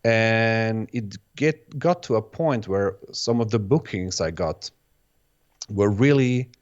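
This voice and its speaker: Guest: native Bosnian-speaking man, lowish voice, halting and reflective, on a dull lo-fi recording. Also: expressive. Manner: hesitant, restarts phrases, many "sort of" hedges. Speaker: guest, electronic music producer. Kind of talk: casual interview answers.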